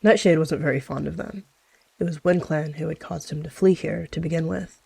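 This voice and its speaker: A woman tells a scripted story as its narrator. Her voice is slightly low with a creaky edge, recorded close and dry. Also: steady tone, brisk, mellow, dry recording.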